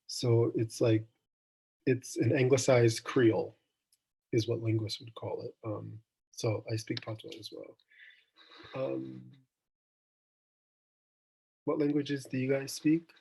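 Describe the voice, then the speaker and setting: A man's voice: mid-range voice, fairly even intonation, slow, vocal fry, pauses often, dark tone, slightly rough, low-quality recording. A young man from Jamaica, hosting a casual online student discussion, thoughtful and meandering with frequent ums and restarts. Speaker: student event host.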